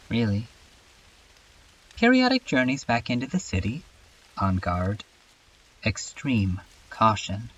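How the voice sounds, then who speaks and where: A man playing a haunted, weary survivor who narrates a lonely horror story in a brooding, introspective, halting way for a fan dub. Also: on the low side, distant mic, heavily acted, low-quality recording. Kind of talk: dramatic first-person narration in a fan dub.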